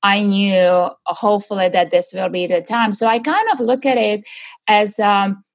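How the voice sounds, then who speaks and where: A woman's voice: medium pitch, fairly even intonation, normal speed. Earnest middle-aged woman. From Czechoslovakia. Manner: earnest, searching, many fillers and restarts. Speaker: guest. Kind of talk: conversational interview answers.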